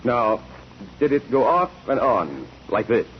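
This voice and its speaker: A man's voice: mid-range voice, sing-song, measured pace. An actor playing a methodical male courtroom examiner in 1940s radio style, questioning in a formal, probing way. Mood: brisk, methodical. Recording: dull, noisy 1940s radio recording.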